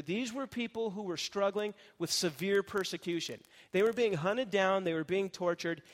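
A man's voice: high-pitched, big-room sound. A man preaching an expository sermon, explaining grammar in a conversational teaching manner and often checking in with the congregation. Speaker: pastor.

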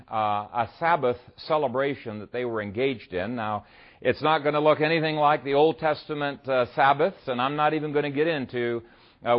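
A man from Ethiopia preaching a sermon, conversational and easygoing, often pausing with "uh" as he tells a story and explains scripture. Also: mid-range voice, muffled recording. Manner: conversational storyteller, frequent "uh" fillers. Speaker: pastor. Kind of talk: sermon with a humorous opening story.

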